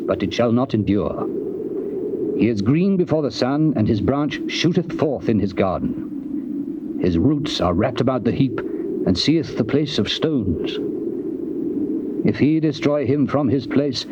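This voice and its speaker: An actor playing a stern, moralizing counsellor, solemn and measured, in a theatrical dramatized Bible reading. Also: male voice, medium pitch, expressive, natural voice. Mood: solemn admonishing. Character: Bildad, stern moralizing counsellor of the righteous sufferer. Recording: muffled, very hissy old cassette tape transfer.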